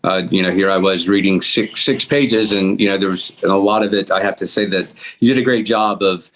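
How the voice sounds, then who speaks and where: A man's voice: low-pitched, steady tone, a little fast, slight background noise, low-quality recording. A man speaking as a guest in informal, appreciative remarks, rambling a little and encouraging. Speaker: guest actor from a table read.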